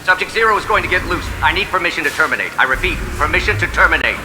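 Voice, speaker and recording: A man's voice: on the high side, animated, noisy background, lightly acted. An actor playing a panicked male security officer making an urgent report. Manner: urgent and fast.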